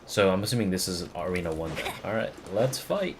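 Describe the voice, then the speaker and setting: A man's voice: low-pitched, lively intonation, fairly quick, background noise. A man streaming a game, casually commenting and reading game text aloud in a profane, reactive manner. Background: game music.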